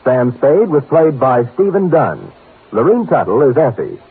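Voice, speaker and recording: A man's voice: mid-range voice, very animated, measured pace, husky. An American man announcing promos and credits in a scripted 1950s network radio style. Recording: tinny vintage radio broadcast with music.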